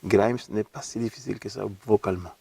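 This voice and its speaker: A man's voice: fairly low pitch, fairly even intonation, fairly quick, gravelly, a little mellow, slightly rough. A middle-aged man from Argentina with an Argentine Spanish accent, a guest speaking thoughtfully in an interview and often repeating words as he searches for them. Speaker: opera singer guest. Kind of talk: relaxed interview talk. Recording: voice from a distant mic.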